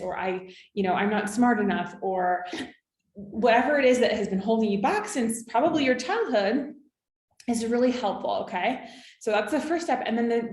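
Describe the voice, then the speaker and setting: Woman, 30s, business coach, encouraging, asks "okay?" a lot, a little high voice, echoey room. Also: darkish tone. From California. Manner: encouraging, checks in with frequent "okay?" and "right?". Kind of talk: coaching talk to camera.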